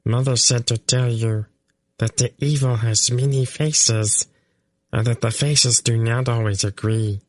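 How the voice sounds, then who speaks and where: A small furry male fenling creature, as a man puts him on, speaking in a high, piping chitter that sits far above an adult man's range. He talks in earnest, formal phrases, slowly and deliberately. The sound is dry and close. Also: fairly even intonation, no echo, natural voice. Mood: solemn. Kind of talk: audiobook character voice.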